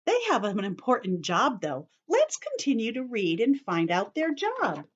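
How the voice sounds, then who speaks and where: Slow, lively woman teacher reading to children. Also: fairly high pitch, highs cut off. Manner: instructive, addresses children with questions and simple repetition. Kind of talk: children's story-time read-aloud with simple explanations.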